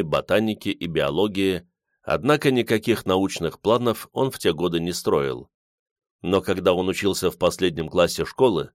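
A man's voice: low-pitched, no echo, clean studio sound. A man narrating a literary story in the first person, reading from a script as an audiobook narrator.

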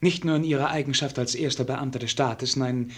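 A melancholy young prince played by a male actor in a radio drama. He speaks in a husky, medium-pitched voice, formal and earnest, full of self-doubt. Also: fairly even intonation, fairly quick, natural voice. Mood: formal, doubtful. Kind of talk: German radio play acting.

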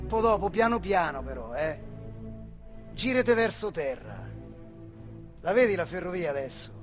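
Brief: male stage actor as weary, stubborn working-class older man, central Italian dialect, high-pitched, halting and intimate theatre delivery over prominent music. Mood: coaxing, wistful. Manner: colloquial, halting, addressing a comrade directly. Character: weary, stubborn working-class factory labourer talking with his old comrade.

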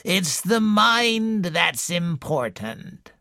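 A man voicing a heavy, domineering old woman who wheezes and speaks slowly and coldly in an audiobook reading. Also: sits high, very animated, vocal fry, bright, forceful tone, dry recording, clean studio sound, voice pushed up, exaggerated voice. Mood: cold, controlling. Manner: slow, cold, controlling.

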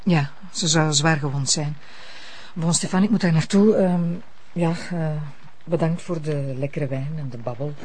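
A woman's voice: deep voice, normal speed, a little mellow, husky. An actress playing an evasive, flustered but firm married woman with a Flemish accent and hesitant delivery in TV drama. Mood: awkward, firm. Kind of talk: naturalistic TV drama acting.

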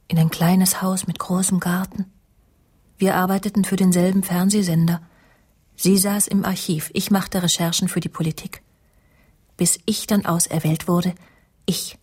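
Casting: bitter middle-aged woman, breathy low voice, intimate confessional narration for radio crime play. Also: a little mellow. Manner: measured, confiding, often dropping to breathy murmurs. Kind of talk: German radio crime drama, narrated monologue and dialogue.

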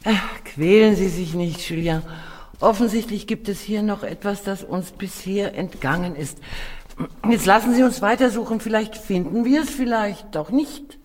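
A man's voice: high-pitched, moderate pace. An actor playing a fatherly, philosophical senior colleague in a radio play, courteous and soothing in manner. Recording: long reverb, clear background noise.

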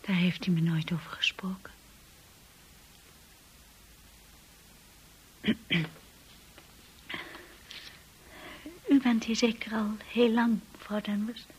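An actress playing a timid, anxious young bride in a radio play, narrating and speaking in a hushed, hesitant way. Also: female voice, mid-range voice, normal speed, low-quality recording. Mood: polite, timid. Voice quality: breathy, husky.

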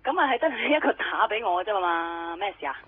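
Curt office worker, tinny phone. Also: female voice, mid-range voice, lively intonation, brisk, slightly rough. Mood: offhand. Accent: Hong Kong Cantonese. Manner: casual, curt. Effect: telephone filter. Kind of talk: radio drama phone dialogue.

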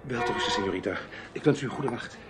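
An actor plays a courteous, gallant man in a radio play. He speaks in a measured, mid-pitched voice as a discreet adviser. Music plays loudly behind him. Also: lightly acted. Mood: confiding, gallant. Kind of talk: Dutch radio play acting.

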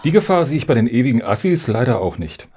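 Wry, husky-voiced activist man acted. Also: mid-range voice, sing-song, fast talker, a little mellow. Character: smooth-talking, high-minded male cultural activist. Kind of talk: German radio satire acting.

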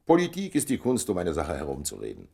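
A man's voice: deep voice, wildly swooping pitch, fast, creaky, dark tone, heavily acted. An actor playing a weary, dryly witty statesman in his fifties in a German radio drama, speaking with emphatic authority.

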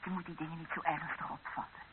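A woman's voice: sits low, level tone, fast, lightly acted. An actress playing a firm, dismissive young woman in a radio drama. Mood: impatient, dismissive. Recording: dull narrow-band old radio recording with hiss.

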